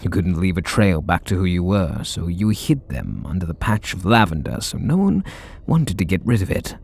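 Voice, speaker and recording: A man's voice: low-pitched, big pitch swings, moderate pace, creaky, darkish tone, slightly rough, exaggerated voice. A man playing a brooding, sardonic super villain confessing hidden devotion in an ASMR roleplay audio drama. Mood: somber, tender.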